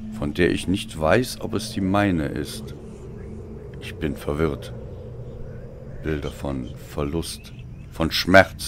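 A man reading a dark audio story as a dramatic narrator, with a brooding, solemn delivery. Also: rumbling low, noisy background. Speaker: audiobook reader.

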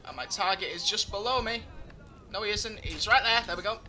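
A man's voice: high voice, brisk. A young man giving live gameplay commentary, chatty and joking, his voice slightly groggy. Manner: chatty, joking, talks to himself while playing. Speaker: gaming commentator.

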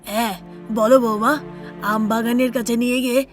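A woman's voice: on the high side, level tone, fairly quick, over music, close to an everyday voice. A woman voicing a kindly older father-in-law in a cartoon tale, concerned and questioning. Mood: worried. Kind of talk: cartoon fairy-tale character acting.

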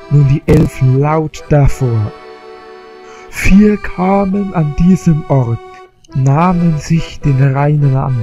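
A man playing a solemn bard who delivers an old legend in measured, lyrical verse for a fantasy audio drama. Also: fairly high pitch, warm tone, highs cut off.

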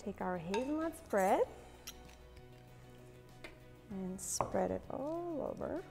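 A woman's voice: medium pitch, lively intonation, measured pace, vocal fry, darkish tone, big-room sound, soft background music. A woman in her forties hosting a cooking demonstration in a large home kitchen, chatty and bubbly, with a playful put-on Southern accent. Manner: chatty, bubbly, laughs often. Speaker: cooking show host.

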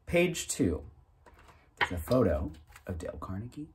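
A man in his thirties reading aloud from a self-help booklet in a deliberate, emphatic manner, occasionally stumbling over the text. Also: lowish voice, big pitch swings, slightly rough. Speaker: narrator reading a book aloud.

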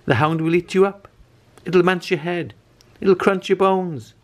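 Man putting on excitable, high-pitched storybook parent. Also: measured pace. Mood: frightened warning. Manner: exclaiming, panicky. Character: alarmed, fretful mother and father of storybook family. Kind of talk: storybook character reading.

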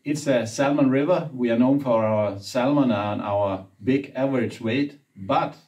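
A man's voice: mid-range voice, a little mellow, distant mic. A man in his forties whose first language is Danish, hosting and prompting a guide through trip details, recorded indoors. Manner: leads the conversation, brisk prompts. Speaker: interviewer, trip organizer. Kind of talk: casual interview. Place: indoor room with brick wall.

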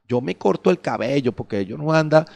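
A man's voice: fairly high pitch, expressive, brisk, vocal fry, darkish tone. A middle-aged man preaching a sermon from a church stage into a handheld microphone, firing rhetorical questions at the congregation and acting out their excuses. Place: church stage before a curtain. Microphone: handheld mic.

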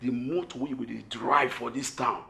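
An actor playing a persuasive, hot-headed Nigerian hustler in a film drama, emphatic and repeating himself for force. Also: male voice, mid-range voice, fairly quick, vocal fry, pushed and bright. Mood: smooth-talking. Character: hustling Nigerian man, persuasive, quick to threaten. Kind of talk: film drama acting in Nigerian Pidgin and English. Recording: roomy echo, music underneath.

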